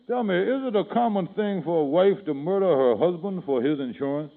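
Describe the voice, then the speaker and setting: A nervous schemer who frets and stammers, his voice sitting slightly high. He talks in the manner of 1940s radio comedy. The sound is thin and tinny, like an old radio show. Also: animated, a little fast. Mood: fretful. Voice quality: fretful, stammering.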